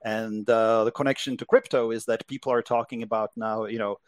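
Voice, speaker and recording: A man's voice: lowish voice, fairly even intonation, brisk, no echo. A middle-aged man from Czechoslovakia, a native Czech speaker, explaining things as an interview guest. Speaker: interview guest, crypto investment executive.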